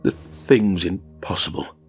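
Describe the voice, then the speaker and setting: A man's voice: deep voice, slow, soft background music, exaggerated voice. A man playing a terrified, stammering chess fanatic. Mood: agitated. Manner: stammering, panting, croaking, muttering. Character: desperate, terrified male chess fanatic, agitated and haunted.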